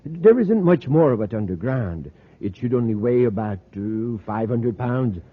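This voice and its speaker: An actor playing an eager, fussy meteorite professor, polite and hesitant, in 1950s radio drama style. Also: male voice, mid-range voice, lively intonation, a little slow, breathy edge. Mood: scholarly. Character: eager, excitable male professor, a meteorite expert. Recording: tinny lo-fi vintage radio recording.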